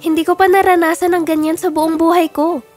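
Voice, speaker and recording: A woman playing a polite, sheltered young princess in an animated fairy tale. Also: extremely high pitch, a little fast, faint music behind. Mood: curious, polite.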